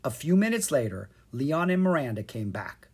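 This is a storyteller reading a children's book aloud. The delivery is expressive and full of lively pitch movement. The voice has a slightly husky grain. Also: moderate pace. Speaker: storyteller, podcast reader. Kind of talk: scripted narration of a children's storybook.

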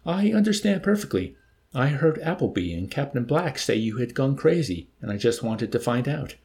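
A man voicing an earnest, anxious male wheeler-dealer in an audiobook, with an excitable, pleading, plaintive manner. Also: mid-range voice, moderate pace, pitched above the performer's own voice. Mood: eager, confused. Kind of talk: audiobook character voice.

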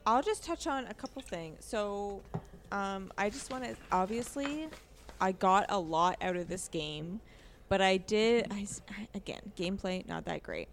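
A woman with a noticeably creaky, gravelly edge and a middling pitch talks casually as a podcast co-host. She sounds informal and a little hesitant, working through her thoughts out loud. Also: expressive, a little slow, slight background noise. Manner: informal, hesitant, thinking aloud. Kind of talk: casual discussion of a video game.

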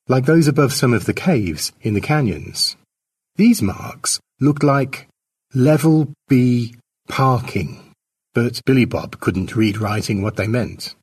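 A man's voice: medium pitch, animated, slow, slightly rough. A man reading his own short story as a scripted narration.

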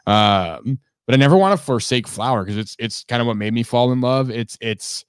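A man hosting a casual podcast chat, rambling earnestly with lots of fillers and a slightly gravelly voice. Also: medium pitch, animated, moderate pace, no room sound, studio quality. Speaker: podcast host.

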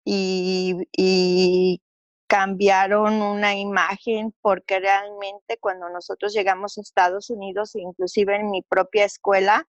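A woman's voice: mid-range voice, level tone, takes it slowly, no echo, muffled recording. Earnest Mexican woman, dry close sound. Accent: Mexican Spanish. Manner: earnest, reflective, with frequent fillers and restarts. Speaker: interview guest. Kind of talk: conversational interview storytelling.

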